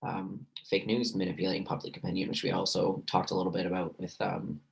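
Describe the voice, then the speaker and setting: A man lecturing on a recorded class, hoarse and losing his voice, talking loosely with many fillers and restarts. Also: low voice, monotone, fast talker. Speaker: university lecturer.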